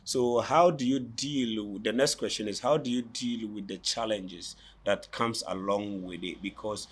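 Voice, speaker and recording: Lower-pitched male host, enthusiastic chatty interviewer. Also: unhurried, breathy edge. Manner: enthusiastic, chatty, repeats questions. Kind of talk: casual interview. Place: boutique, indoors.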